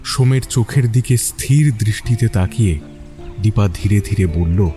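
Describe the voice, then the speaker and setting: A man's voice: on the low side, normal speed, mellow, soft background music. A man narrating a scripted mystery story in a dramatic storytelling style.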